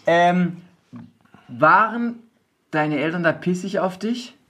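A man's voice: sits high, slow pace, pushed and bright. Slangy male podcast host. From southwest Germany. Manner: loose, slangy, laughing often. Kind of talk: casual chat. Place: house in a village.

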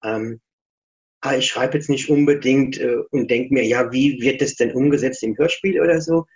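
A middle-aged man chats casually in an interview, thoughtful and self-deprecating. His voice sits at an ordinary pitch. The recording is a little dull and lo-fi. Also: normal speed, a little mellow. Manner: chatty, reflective, self-deprecating. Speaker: author interview guest.

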